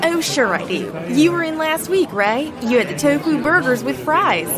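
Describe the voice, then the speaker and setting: A woman's voice: high voice, lively intonation, moderate pace, crowd noise. A woman playing a chirpy diner waitress. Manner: chirpy, uses sugar and darling. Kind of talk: audio drama acting.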